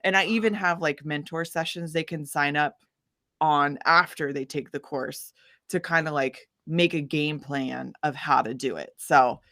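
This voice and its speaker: Enthusiastic, lower-pitched female musician guest. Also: a little slow, sharp tone. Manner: enthusiastic, encouraging. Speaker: guest musician and songwriting mentor. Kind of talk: casual interview chat.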